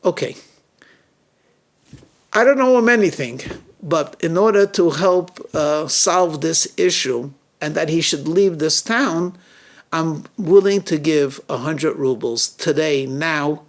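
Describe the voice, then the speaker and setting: Man acting a high-voiced, slippery storybook businessman. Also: takes it slowly. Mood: grudging. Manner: evasive, falsely reasonable. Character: cold-blooded, lying businessman guarding his reputation.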